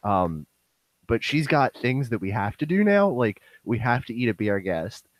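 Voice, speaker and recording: A young man hosting a casual podcast with a hoarse, worn-out voice that sounds nasal and bassy, rambling with lots of fillers. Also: big pitch swings, moderate pace, no room sound. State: hoarse, voice going. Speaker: podcast host.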